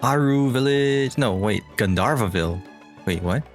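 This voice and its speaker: A man streaming a game, playful and giggly, thinking out loud as he goes. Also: mid-range voice, animated, normal speed, music in the background. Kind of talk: casual gameplay chat.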